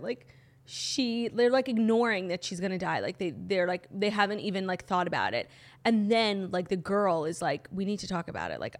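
A woman co-hosting a podcast in casual chat, her voice a little hoarse, talking in a gushing rush full of "like". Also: medium pitch. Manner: gushing, fast, heavy use of "like". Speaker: podcast co-host.

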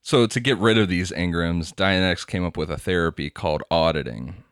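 A man's voice: sits low, fairly quick, fairly bright tone, clear, resonant tone, dry recording, studio quality. A man co-hosting a casual podcast, explaining a topic from notes and laughing often. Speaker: podcast co-host. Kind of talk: casual podcast chat, reading quotes aloud.

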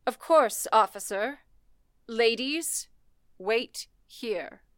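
An actress playing a stern, protective supervisor in a radio play, speaking in a commanding, solemn way. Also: female voice, on the high side, expressive, drawn-out words, a little hiss or hum. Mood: composed. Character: stern, protective woman in charge of frightened operators. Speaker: telephone office supervisor. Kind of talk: radio play acting in 1930s setting.

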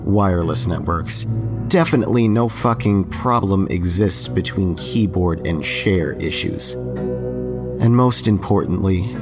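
An actor playing a bitter, fed-up IT worker, narrating his story with deliberate, sardonic emphasis. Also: low-pitched. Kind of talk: first-person dramatic audio fiction narration.

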